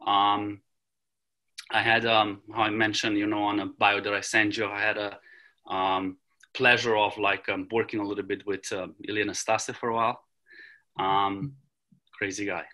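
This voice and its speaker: Give me a Romanian man in his forties, halting and bright-toned, chatting on a video call. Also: lowish voice, level tone. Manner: halting, many ums and restarts. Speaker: tennis coach. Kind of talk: casual interview over video call. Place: small room at home. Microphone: video call, laptop camera.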